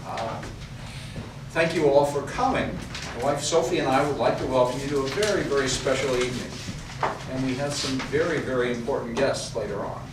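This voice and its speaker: A man's voice: medium pitch, brisk, background noise, close to an everyday voice. A man playing a courteous professor who welcomes guests and tells his life story in a formal, storytelling manner at a staged reenactment. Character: genial older male art professor hosting guests. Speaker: actor in a historical reenactment. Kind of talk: staged period reenactment monologue. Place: large echoey room in a house. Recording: distant mic, echoey room, audible ambience.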